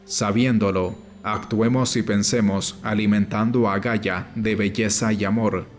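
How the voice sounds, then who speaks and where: A man narrating a nonfiction audiobook in a measured, formal reading style. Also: fairly low pitch, steady tone. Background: music.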